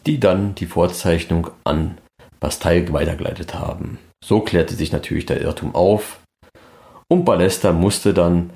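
A man's voice: sits low, unhurried, creaky, warm tone. A man presenting a podcast in scripted, documentary-style narration.

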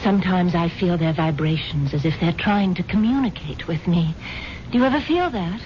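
A woman's voice: fairly low pitch, animated, moderate pace, a little mellow, a little hiss or hum, close to an everyday voice. An actress playing a dreamy, earnest rich woman with a gushing, airy manner and a love of mysticism, in 1970s radio drama. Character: dreamy, earnest, wealthy wife enthralled by mysticism. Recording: dull lo-fi 1970s radio broadcast, music behind.

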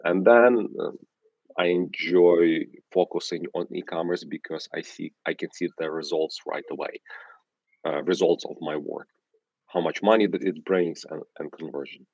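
Man, Ukrainian accent, very low voice, stumbling restarts, dull dry recording. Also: moderate pace, darkish tone, no echo. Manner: frequent restarts and repeated words. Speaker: guest, agency founder. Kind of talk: casual podcast interview.

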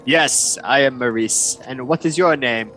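A man's voice: medium pitch, measured pace, a bit bright, soft background music, lightly acted, low-quality recording. A man voicing a polite, eager male shopkeeper with a salesman's patter in a tabletop game. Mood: welcoming. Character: smooth-talking male shopkeeper, eager to sell.

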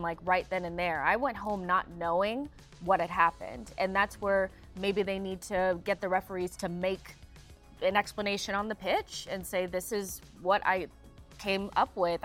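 A woman's voice: mid-range voice, fairly bright tone. A woman on a sports show panel, sharing her opinion in a conversational way.

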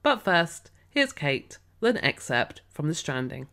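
A woman with a slightly low, croaky voice, still getting over a cold, hosts an author interview podcast. She reads her introduction from a script and then moves on to friendly questions. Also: very animated, a little slow, fairly bright tone. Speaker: podcast host.

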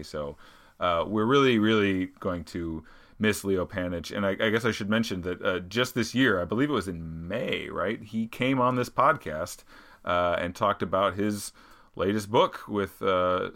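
Deep-voiced male podcast host, forceful tone, rambling delivery, low pitch. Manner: rambling, many fillers and restarts. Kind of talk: casual podcast chat.

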